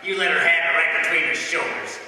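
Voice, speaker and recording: Guy doing bossy ruthless gangster, sharp highish voice, curt slang, radio play in a big echoey hall. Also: expressive, fairly quick. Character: bossy, ruthless male gangster with Tommy gun. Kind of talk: old-time radio drama acting. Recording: very echoey hall, far from mic.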